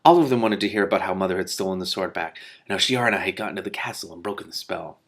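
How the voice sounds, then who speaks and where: A man reading a fantasy story aloud in an animated, informal way, now and then correcting himself. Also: sits low, racing. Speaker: reader and commentator. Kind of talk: expressive storybook read-aloud.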